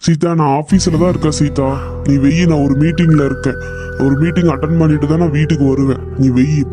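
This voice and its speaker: A man's voice: on the high side, steady tone, fairly quick, lightly acted. A man voicing a short-tempered husband in a cartoon story who scolds and repeats himself, with music playing behind. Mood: puzzled. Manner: scolding, irritable, repetitive. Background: background music.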